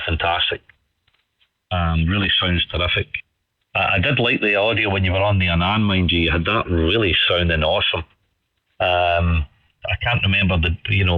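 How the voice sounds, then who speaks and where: A man chatting casually and in a friendly, rambling way over a ham radio transmission with muffled audio. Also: low voice. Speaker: amateur radio operator. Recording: dull band-limited ham radio audio.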